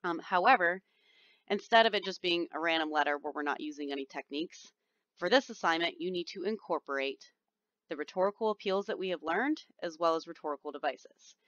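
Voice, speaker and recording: A woman's voice: on the low side, a little fast, a bit bright, no room sound, lo-fi sound. Whiny-voiced woman teacher giving instructions. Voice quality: whiny, nasal-sounding voice. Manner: chatty, reassuring, explains step by step with frequent ums. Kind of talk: recorded classroom instructions over slides. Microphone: computer microphone, screen recording.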